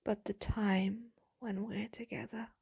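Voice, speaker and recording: Whispery, very breathy female vampire lover, acted by a woman, slow and wistful, gravelly edges, intimate ASMR roleplay. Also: mid-range voice, level tone, exaggerated voice. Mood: aching, wistful. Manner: slow, hushed, doting, wistful.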